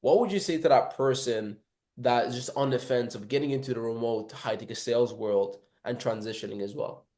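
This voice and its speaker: A man's voice: mid-range voice, brisk, dark tone. A man hosting an interview, asking encouraging questions and sprinkling in religious phrases. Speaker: interviewer, host. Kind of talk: casual interview.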